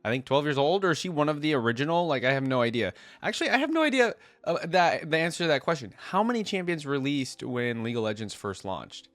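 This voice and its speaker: A man's voice: fairly high pitch, sing-song, fast, hardly any pauses, fairly bright tone, no echo. A man with a stuffy, congested voice from a cold, giving casual, rambling reaction commentary as a video host, thinking aloud as he goes. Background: game theme music.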